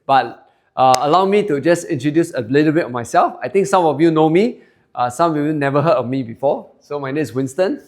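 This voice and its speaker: A man's voice: fairly high pitch, animated, fairly quick, a little mellow. A man in his forties with a Singaporean English accent, giving a chatty sales presentation to a room of listeners and polling them with questions. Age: 40s. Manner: chatty, polls the crowd and tags lines with "okay?". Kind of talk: sales presentation to a live audience. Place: seminar room with an audience.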